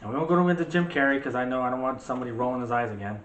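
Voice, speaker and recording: A man with a medium-pitched voice talks through his opinions as a show co-host, rambling and ready to argue a point. It is casual, conversational chat, recorded in an echoey room with faint noise behind him. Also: animated, very fast, fairly bright tone. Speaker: co-host of a movie discussion show.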